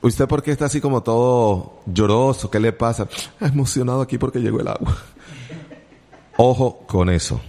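A man's voice: mid-range voice, normal speed, warm tone. A man with a Colombian accent hosting casual morning radio from a studio, chatty and joking with teasing asides. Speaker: radio host.

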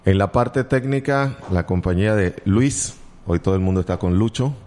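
A man's voice: lowish voice, normal speed, a little mellow. A man with a Colombian accent hosting casual morning radio from a studio, chatty and joking with teasing asides. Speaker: radio host.

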